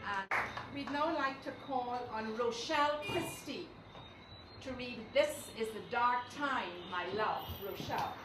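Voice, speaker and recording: A woman hosts a live recital, introducing readers informally in a fairly high voice. She is heard at a distance in an echoey room with loud noise. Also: measured pace. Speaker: event host introducing readers. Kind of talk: informal spoken introductions to an audience. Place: indoor live recital event, picked up from afar. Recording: roomy, distant hall recording with loud noise.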